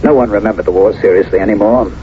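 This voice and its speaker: An actor playing a troubled, earnest war veteran in love in a 1970s radio drama, speaking hesitantly. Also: male voice, lowish voice, quick pace, lightly acted. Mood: troubled, confessional. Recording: dull, noisy old radio recording.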